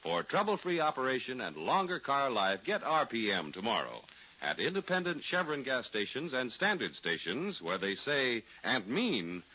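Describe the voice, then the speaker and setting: Animated, bright-voiced male vintage radio announcer. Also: mid-range voice, moderate pace. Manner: polished salesmanlike delivery. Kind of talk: scripted 1940s radio announcing and sponsor reading. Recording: muffled 1940s radio broadcast recording.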